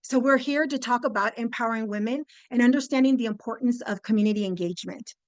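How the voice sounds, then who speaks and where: Woman, 50s, Spanish native speaker; lively guest presenter on a video call, high-ish voice, fillers and restarts, dry room. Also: fast, no room sound. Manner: upbeat, chatty, lots of fillers and restarts, addresses "you guys". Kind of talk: webinar presentation.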